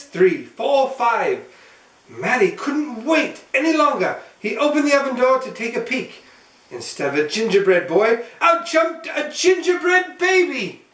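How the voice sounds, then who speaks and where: A man's voice: very high voice, very animated, a little slow, fairly bright tone. A man reading a children's storybook aloud as host, narrating expressively and playfully for young listeners. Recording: roomy echo, mic at some distance.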